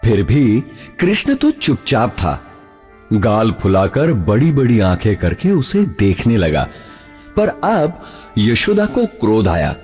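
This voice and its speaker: A man narrating a scripted children's story in an expressive, dramatic way. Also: lowish voice, takes it slowly. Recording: music behind the voice.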